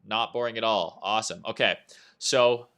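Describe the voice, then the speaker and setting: A young Canadian man with a Canadian accent, chatting casually on a trading livestream. His voice is fairly low but bright and forward, and he speaks in loose, rambling sentences full of "uh" and "right?" as he answers viewers. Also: moderate pace, smooth. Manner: loose and chatty, reads chat aloud, frequent "uh" and "right?". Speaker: livestream host, trading analyst. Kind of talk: casual livestream market commentary.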